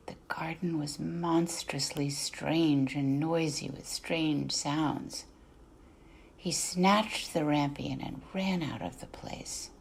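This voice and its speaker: A woman reading a literary fairy tale for adults as narrator, with deliberate, dramatic phrasing that trails off softly at phrase ends. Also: sits low, creaky, husky. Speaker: narrator, artist reading excerpts. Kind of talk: scripted storybook narration for adults.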